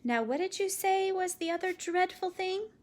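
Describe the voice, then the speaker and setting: A woman voicing a calm, patient father who gently teases his daughter with dry jokes. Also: extremely high pitch, moderate pace, voice pushed up. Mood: gently teasing. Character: calm, teasing father, a lawyer.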